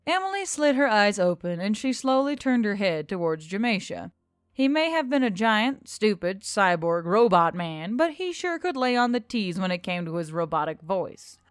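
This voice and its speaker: A woman's voice: mid-range voice, very animated, moderate pace, clean tone, no echo, studio quality. A woman narrating a scripted story as host of an audio fiction channel.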